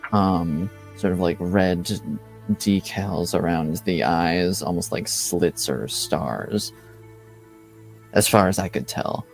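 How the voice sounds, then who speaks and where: A man playing an earnest, cautious, slightly awkward male guard in improvised tabletop roleplay, speaking haltingly and carefully. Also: low voice, vocal fry, a little mellow, heavily acted.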